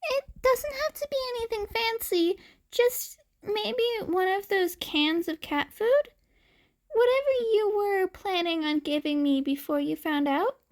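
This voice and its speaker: Woman voicing a high, pleading little cat-boy. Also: animated, a little slow. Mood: forced hopeful. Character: hungry cat-boy child, cheeky, pleading, sweetly hopeful.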